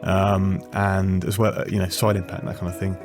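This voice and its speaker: A man's voice: sits low, level tone, normal speed, over music. A man, a guest engineer, talking casually in a rambling, self-correcting way with frequent restarts and easy laughs. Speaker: guest, former F1 designer. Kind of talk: casual interview chat.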